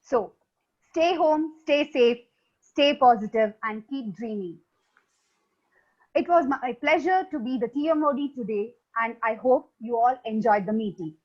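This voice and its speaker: Woman host of an online meeting, formal, prepared lines, high voice, dull lo-fi sound. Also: measured pace, darkish tone. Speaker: meeting host (toastmaster of the day).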